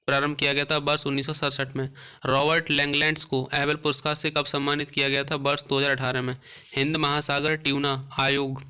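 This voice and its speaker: Bright, animated male quiz teacher. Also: medium pitch, clear, resonant tone. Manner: brisk question-and-answer delivery, repeats key terms. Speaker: exam coaching teacher. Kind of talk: reading out current affairs quiz questions and short answers.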